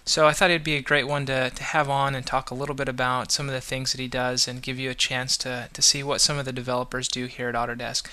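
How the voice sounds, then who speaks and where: Bright, clear-voiced male podcast host. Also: mid-range voice, quick pace, hardly any pauses. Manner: relaxed, rambling with many restarts and fillers. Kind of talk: casual podcast talk.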